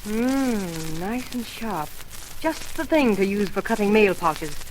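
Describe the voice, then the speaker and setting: An actress playing a sharp, probing woman investigator in an old-time radio drama, speaking briskly and with authority. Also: mid-range voice, lively intonation. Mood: brisk, inquisitive. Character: sharp, commanding woman investigator pressing a witness. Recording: noisy old radio serial recording.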